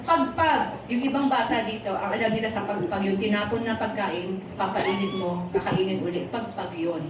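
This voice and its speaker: A woman's voice: mid-range voice, moderate pace, hardly any pauses. A woman with a Filipino accent preaching conversationally in Tagalog and English, teasing and putting questions to her congregation. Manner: animated and teasing, puts rhetorical questions to the congregation. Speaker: preacher at a Sunday worship service. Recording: echoey hall from far off, noisy.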